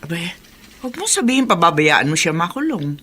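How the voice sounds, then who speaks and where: Very animated, insistent Filipino friend. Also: female voice, fairly low pitch, normal speed, breathy edge, full character voice. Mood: concerned. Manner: exclamatory, insistent. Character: concerned, outspoken female friend urging mercy. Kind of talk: Filipino radio drama acting.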